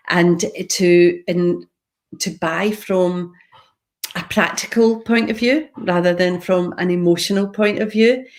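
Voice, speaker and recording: Chatty middle-aged Glaswegian woman. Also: fairly low pitch. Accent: Glaswegian Scottish. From Scotland, Glasgow. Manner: chatty, goes on tangents, addresses viewers directly. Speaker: public speaker. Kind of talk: live-streamed personal talk to viewers.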